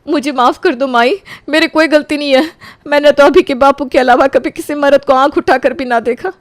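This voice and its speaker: A woman's voice: sits high, moderate pace, no echo, studio quality, natural voice. A woman playing a desperate, wronged young wife in a melodramatic audio story, pleading tearfully.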